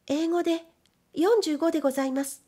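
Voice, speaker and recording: A woman's voice: sits high, fairly even intonation, fairly quick, warm tone, pitched above the performer's own voice, natural voice. A woman voicing an earnest, hesitant young orphan girl in an audiobook, speaking with careful, deferential politeness. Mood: polite, dutiful. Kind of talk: audiobook character reading.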